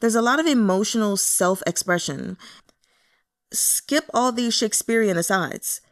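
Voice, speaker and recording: A woman voicing a curt, listless male book editor in an audiobook, measured and critical. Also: high-pitched, expressive, a bit bright, pitched above the performer's own voice, exaggerated voice. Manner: measured, dismissive. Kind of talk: audiobook character dialogue.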